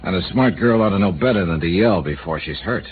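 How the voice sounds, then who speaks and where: A man's voice: low voice, expressive, a little fast. An actor playing a tough, blunt insurance investigator in a 1950s radio drama, pressing his questions in a dry way. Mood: probing. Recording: tinny old radio broadcast with hiss.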